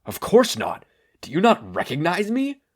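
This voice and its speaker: A man playing a proud, gruff, easily offended male superhero who talks in a forceful, scornful way. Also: high voice, huge pitch swings, normal speed, pitched above the performer's own voice, heavily acted. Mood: offended, indignant.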